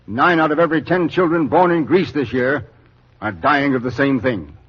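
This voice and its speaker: An actor playing a sympathetic but firm relief official in a 1940s radio drama, measured and oratorical. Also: male voice, mid-range voice, expressive, breathy edge. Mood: regretful. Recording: muffled vintage radio recording.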